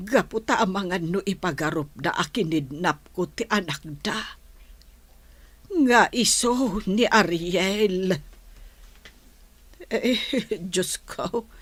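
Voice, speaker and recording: An actress in a radio drama playing an anxious, deferential household helper who talks hesitantly, as if guarding a secret. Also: female voice, lowish voice, breathy edge, no room sound, full character voice. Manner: hesitant, halting.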